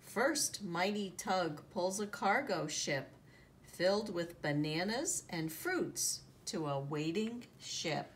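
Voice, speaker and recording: A woman reading a children's picture book aloud in an expressive, deliberate storytelling manner, drawing out the sound words. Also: fairly low pitch. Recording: noticeable background noise, home recording.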